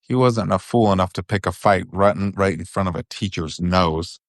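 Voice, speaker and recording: Low-voiced man reading a bedtime story. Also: normal speed, dry recording, clean studio sound. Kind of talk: storybook narration.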